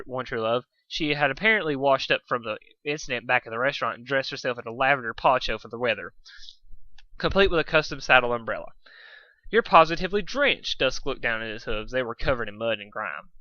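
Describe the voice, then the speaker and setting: A man's voice: medium pitch, quick pace, bright, forceful tone, no echo, dull audio. A man reading fan fiction as an informal dramatic storyteller, often stumbling over his words.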